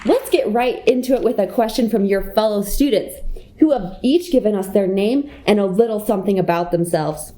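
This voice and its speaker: A woman's voice: medium pitch, moderate pace, warm tone, slight background noise, close to an everyday voice. A young American woman playing a prim schoolgirl who moderates an elementary school debate, formal and orderly, in a comedy radio play. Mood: formal, composed. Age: young adult.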